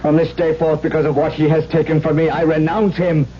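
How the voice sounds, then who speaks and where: An actor playing a grief-stricken, defiant widowed baron with intense delivery in a 1970s radio drama. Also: male voice, fairly high pitch, level tone, a little fast, a little hiss or hum, natural voice. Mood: anguished, defiant. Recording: dull 1970s radio broadcast.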